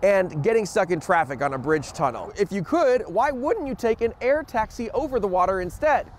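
A man's voice: sits high, animated, moderate pace, slight background noise. A man in his thirties narrating a scripted television news report as the reporter.

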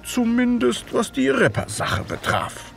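An actor playing a modest, easily embarrassed Victorian doctor who narrates an audio drama in measured storytelling. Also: male voice, very high voice, wildly swooping pitch, creaky, soft background music, heavily acted. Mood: reflective narrating. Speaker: narrator and character.

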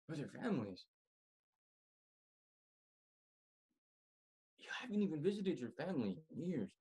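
An actor plays a young man in his twenties pleading with his roommate in a dramatic monologue. His husky voice swings widely in pitch. He is worried and exasperated, stumbling and repeating his words. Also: full character voice. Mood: gentle, apologetic. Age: 20s. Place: small room indoors at home. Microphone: webcam at arm's length.

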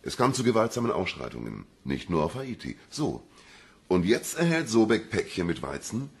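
An actor playing a gruff, self-assured detective with a gravelly voice in a crime radio drama. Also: male voice, sits low, big pitch swings, normal speed, full character voice. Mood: explaining, insistent. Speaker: police investigator.

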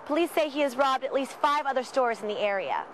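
A woman's voice: high voice, lively intonation, brisk, slight background noise. A young American woman reporting scripted TV news in a formal, enunciated newscaster delivery. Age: young adult.

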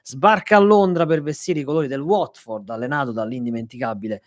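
A man's voice: medium pitch, fairly quick. A man, co-hosting a live football podcast from a small home room with an upbeat, announcer-like way of introducing things. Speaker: podcast co-host. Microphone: studio microphone on stand.

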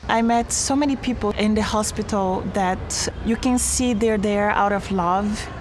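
A pregnant woman model speaking softly and reflectively in an interview. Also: medium pitch, measured pace. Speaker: fashion model. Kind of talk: interview talking head. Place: outdoors at an old building. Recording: noisy outdoor ambience.

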